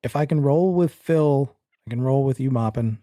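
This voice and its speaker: A man's voice: mid-range voice, moderate pace, mellow, no room sound, studio quality. A man narrating as game master of a tabletop horror role-playing game, in a casual, teasing way.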